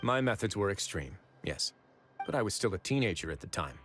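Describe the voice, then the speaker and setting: Game fortress warden: lowish voice, measured and formal, composed but carrying a grim past, music underneath. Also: male voice, sing-song. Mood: resolute, composed. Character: composed male prison fortress overseer with a tragic past. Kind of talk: scripted video game dialogue. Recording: music behind the voice.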